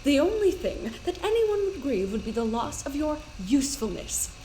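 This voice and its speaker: A woman's voice: high-pitched, very animated, normal speed, mellow, a little hiss or hum, rough recording. A woman playing a cold, threatening doctor in command in an audio drama, clipped and contemptuous. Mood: cold fury.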